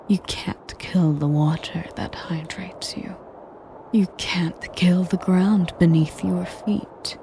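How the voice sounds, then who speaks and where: An ancient, sadistic dragoness, acted out by a woman speaks in a lowish, breathy whisper. Her delivery is extremely slow and deliberate, full of haughty, taunting menace. It is a dramatic fantasy audio roleplay with clearly noisy ambience behind the voice. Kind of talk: dramatic scripted roleplay monologue.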